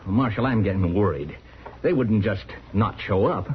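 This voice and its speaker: An actor playing a polite, worried frontier preacher in an old-time radio western. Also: male voice, on the low side, normal speed, lightly acted. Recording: muffled old radio recording, faint hiss.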